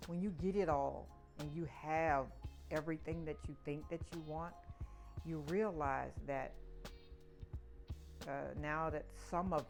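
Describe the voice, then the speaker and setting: A woman's voice: sits low, a little mellow. A woman in her sixties sharing personal reflections in conversation, emphatic and repeating words for stress. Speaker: podcast guest, the host's mother.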